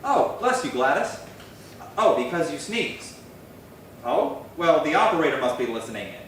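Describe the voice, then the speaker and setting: A man's voice: a little high, big pitch swings, moderate pace, fairly bright tone. A man playing an earnest, devoted husband in an old-time screwball radio comedy, speaking with emphatic, theatrical delivery. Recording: very echoey, distant stage recording, faint noise.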